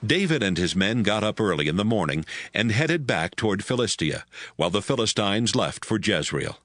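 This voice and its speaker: A man's voice: fairly low pitch, big pitch swings, normal speed, a bit bright, dry recording. A man narrating a dramatized audio Bible as scripted storytelling.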